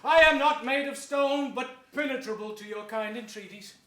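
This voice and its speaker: A man's voice: very high voice, a bit bright. An actor playing an ambitious, scheming usurper king who feigns piety, in declamatory Shakespearean stage style. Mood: pious false reluctance. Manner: theatrical, slow, emphatic. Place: theatre stage before an audience. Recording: echoey theatre stage.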